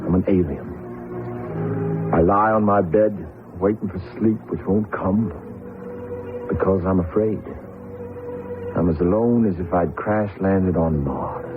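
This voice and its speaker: Tormented young misfit, radio drama. Introspective and earnest, lowish voice, narrow vintage broadcast sound with music behind. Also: male voice. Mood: anguished, lonely. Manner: earnest, introspective, halting when pleading. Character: very tall, lonely, tormented young man, feels alien. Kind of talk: radio drama acting, first-person narration and dialogue. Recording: tinny old radio broadcast recording.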